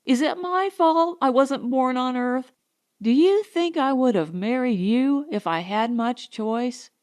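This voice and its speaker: Resentful colonist wife for an audiobook, played by a woman: high voice, taunting, defiant, unhurried. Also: darkish tone. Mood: bitter, resentful. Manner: taunting, challenging. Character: sharp-tongued, resentful, strong young colonist wife.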